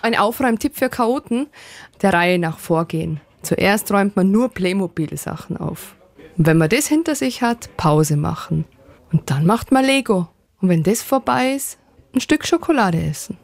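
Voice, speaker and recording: Gravelly woman, playful step-by-step. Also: mid-range voice, animated, moderate pace. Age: 30s. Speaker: teacher.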